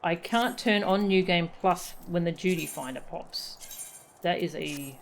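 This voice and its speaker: A woman's voice: lowish voice, a little slow, background noise. A woman game streamer giving casual live commentary as she plays.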